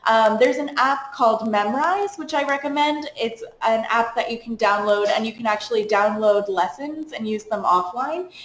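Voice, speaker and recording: Coordinator type, American woman, brisk and practical, slightly higher voice; echoey room with a faint hiss. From United States. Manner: chatty, practical, quick advice-giving. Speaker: program coordinator. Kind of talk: webinar presentation.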